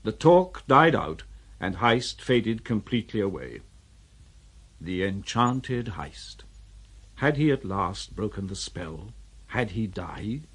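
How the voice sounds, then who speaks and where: Casting: man as wry literary narrator, husky voice, lively dramatic intonation. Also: lowish voice, takes it slowly. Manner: theatrical, wry storyteller.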